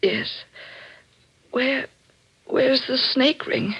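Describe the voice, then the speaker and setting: A muffled old-time radio recording of a distraught wife in a mystery drama. Her voice sits fairly high and has a breathy edge. She speaks melodramatically, in the breathless, fearful manner of forties suspense acting. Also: fairly even intonation, extremely slow, fairly bright tone, heavily acted. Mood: shaken, subdued. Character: distraught young wife who finds her husband murdered. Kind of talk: 1940s radio mystery acting, first-person narration and dialogue.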